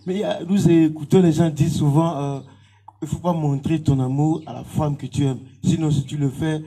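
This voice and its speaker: Man, very mellow, somewhat husky, conversational interviewer, tag questions. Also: fairly high pitch, fairly even intonation, moderate pace.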